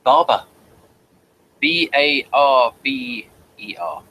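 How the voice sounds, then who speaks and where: A man hosts an informal review with a bright voice and medium pitch. His intonation moves up and down in a lively way as he comments. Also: slow pace, low-quality recording. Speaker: host of review show. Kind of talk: casual commentary over images. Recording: faint background noise.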